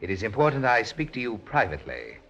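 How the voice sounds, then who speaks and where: A domineering, menacing party boss in old radio drama style. The voice is deep and gravelly, swinging widely in pitch, with formal, sardonic commands. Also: male voice, wildly swooping pitch, quick pace, a bit bright, dull audio, full character voice. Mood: curt, formal. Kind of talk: 1950s radio drama acting.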